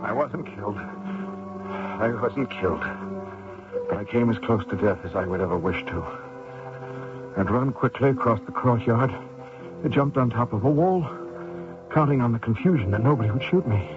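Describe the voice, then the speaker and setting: Actor as desperate fugitive, tinny radio sound. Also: male voice, medium pitch, expressive, normal speed, pauses often, close to an everyday voice. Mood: haunted, tense. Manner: dramatic first-person narration with strained pleading. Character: desperate wounded American fugitive soldier recounting his escape. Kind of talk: old-time radio drama acting. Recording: tinny old radio broadcast with music.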